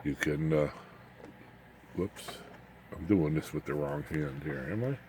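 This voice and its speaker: Deep-voiced man over audible background noise. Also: warm tone. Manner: halting, muttering asides to himself while working. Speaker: hobbyist radio builder demonstrating his equipment. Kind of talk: informal unscripted hands-on demonstration. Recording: clear background noise, room ambience.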